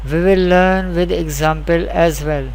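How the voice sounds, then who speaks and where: A teacher giving a scripted Quran recitation lesson, explaining deliberately through repeated questions and answers, with spelled-out examples. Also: mid-range voice, fairly even intonation, darkish tone, slight background noise.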